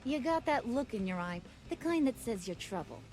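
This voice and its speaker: An actress with an American accent playing a playful, menacing young villainess in a video game, teasing in sing-song slang with mock sweetness. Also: female voice, on the high side, lively intonation, normal speed, darkish tone, faint music behind, lightly acted. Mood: taunting, suspicious.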